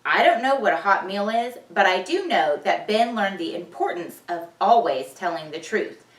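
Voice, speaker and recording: A woman teacher reading a storybook aloud for a children's vocabulary lesson, expressive and deliberate, repeating key words. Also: mid-range voice. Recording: echoey room, distant microphone.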